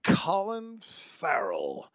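A man's voice: fairly high pitch, wildly swooping pitch, extremely slow. A man hosting a comedy podcast in casual banter, handing down mock-serious pronouncements. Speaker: podcast host.

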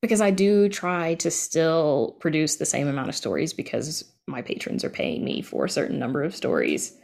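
A woman's voice: deep voice, lively intonation, moderate pace, gravelly, darkish tone. A middle-aged woman giving candid, chatty answers as a guest in a casual interview. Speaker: interview guest, podcast creator.

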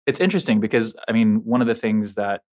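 Low-ish man hosting a podcast. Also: expressive, darkish tone. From Middle East. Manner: casual, many fillers, quick agreement. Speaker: podcast host. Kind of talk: podcast conversation.

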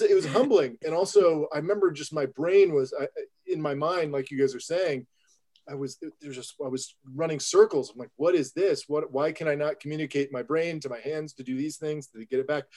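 Enthusiastic man telling an animated story. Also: fairly high pitch. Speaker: filmmaker, guest. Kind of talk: casual storytelling in an interview.

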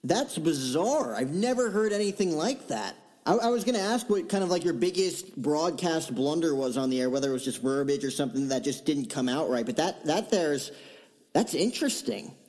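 A man's voice: a little high, lively intonation, moderate pace, a little mellow. A man hosting an interview in a casual, conversational way. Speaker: interview host.

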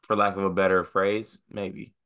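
A man's voice: sits low, level tone, normal speed, pushed and bright, clean tone. A man co-hosting a casual sports podcast, stuffed up with the sniffles, sounding nasal. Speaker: podcast co-host.

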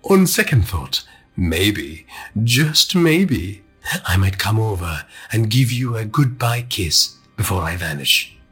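A man voicing a sadistic male villain in an audiobook, with a silky, husky, slow and taunting menace. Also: on the low side, big pitch swings, a bit bright, faint music behind, exaggerated voice. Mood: gloating. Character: smooth-talking sadistic male villain taunting over a radio.

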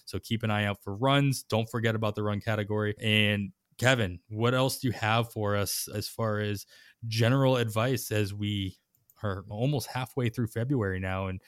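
Low-voiced man hosting a podcast. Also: steady tone, moderate pace, clear, resonant tone, no echo, studio quality. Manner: conversational, hands off to guests and wraps up the show. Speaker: podcast host. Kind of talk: casual fantasy baseball podcast chat.

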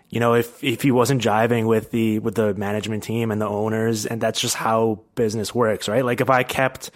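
A man hosting a casual sports podcast, explaining his points in a rambling, slightly hesitant way. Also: on the low side. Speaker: podcast host and hockey writer.

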